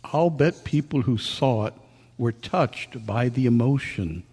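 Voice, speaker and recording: A man acting a gentle listener and narrator in a staged radio drama, speaking deliberately. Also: medium pitch, lively intonation, slightly rough. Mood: gentle, concerned. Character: Colonel Bear, kindly listener comforting a ghost, also narrator. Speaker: narrator and actor. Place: live club meeting in a hall. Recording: echoey hall with long reverb.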